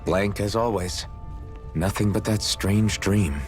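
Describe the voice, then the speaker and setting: Deep-voiced, stoic young rebel. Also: male voice, slow pace, faint music behind. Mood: somber. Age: young adult. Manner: stoic, earnest. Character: earnest young masked slave, stoic, quietly defiant. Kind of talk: video game cutscene voice acting.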